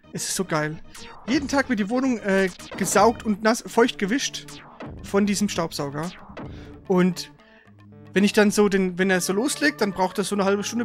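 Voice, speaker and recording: Chatty man over loud game music. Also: high-pitched. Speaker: streamer and co-host. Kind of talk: casual live game stream chat.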